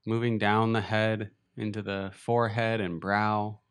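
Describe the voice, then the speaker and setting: A man leading a beginners' body scan meditation, speaking slowly and gently with long pauses. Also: fairly low pitch, little pitch movement, clean tone. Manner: slow, gentle, unhurried guidance with long pauses. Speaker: meditation teacher. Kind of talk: guided meditation.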